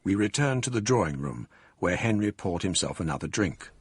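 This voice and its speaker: An actor giving measured, literary first-person narration as a composed gentleman in a radio drama. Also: deep voice, big pitch swings, slight background noise. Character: composed gentleman male narrator recalling events.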